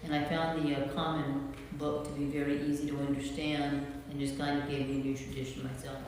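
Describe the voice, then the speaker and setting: A man's voice: a little high, monotone, fairly quick. A man giving an unscripted reflection to a group as a lay cast member. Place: church. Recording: very echoey church, distant mic, faint noise.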